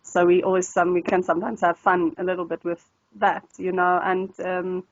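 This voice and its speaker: A woman with an Afrikaans accent talks as a language tutor, her voice sitting a little low. She is chatty and laughs often, restarting her sentences as she goes. The audio is dry and dull, as on a lo-fi online call. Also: level tone, normal speed, no room sound. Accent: South African Afrikaans. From South Africa. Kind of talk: casual interview conversation.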